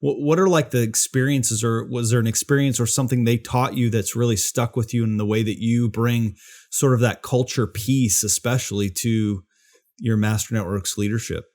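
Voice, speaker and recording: A man's voice: mid-range voice, fairly even intonation, normal speed, darkish tone. A man hosting a podcast, asking curious, rambling questions with restarts. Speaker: podcast host. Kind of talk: interview questions, casual.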